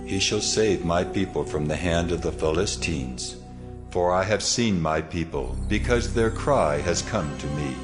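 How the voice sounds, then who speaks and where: A man voicing a solemn, commanding God in a dramatized scripture, speaking gravely and with measured authority. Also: low voice. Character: the Lord God, solemn commanding male deity. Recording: music behind the voice.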